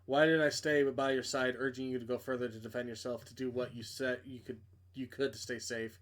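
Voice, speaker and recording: A man's voice: mid-range voice, little pitch movement, a little fast, pitched below the performer's own voice, lightly acted. A man voicing a menacing stalker in a horror visual novel, with cold, mocking questions. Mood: cold taunting. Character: menacing, taunting stalker messaging a victim in horror game.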